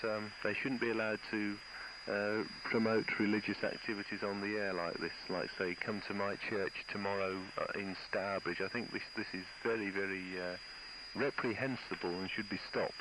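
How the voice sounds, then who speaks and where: A man gives a hesitant, disapproving opinion in a lowish voice. The radio clip is clearly noisy. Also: flat delivery, moderate pace. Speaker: commenter on a radio station. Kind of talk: spoken opinion.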